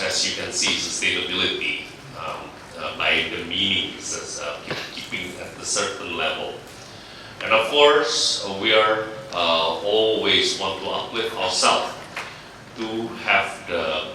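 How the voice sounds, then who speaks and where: Man, very deep voice, panelist, halting, echoey hall from far off, hissy. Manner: halting, searching for words. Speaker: company CEO, panelist. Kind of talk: panel answer. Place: large conference hall.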